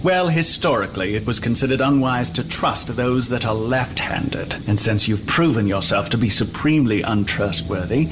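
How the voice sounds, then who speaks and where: An actor playing a scarred, sadistic immortal villain in a sci-fi audio drama, menacing and mocking in a measured, formal way. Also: male voice, medium pitch, expressive, noisy background, natural voice. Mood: sadistic gloating.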